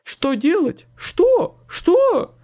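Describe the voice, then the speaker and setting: A man's voice: high-pitched, very animated, moderate pace, mellow, pitched above the performer's own voice. A man voicing a jittery, panicky very young man with a thin tenor in an audiobook story. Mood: frantic. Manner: panicky, repeating himself.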